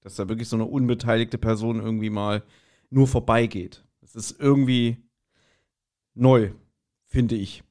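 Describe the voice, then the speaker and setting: A man's voice: mid-range voice, fairly even intonation, normal speed, long pauses, a little mellow. A man hosting a podcast in casual, jokey chat, with a rambling, self-deprecating manner. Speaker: podcast host.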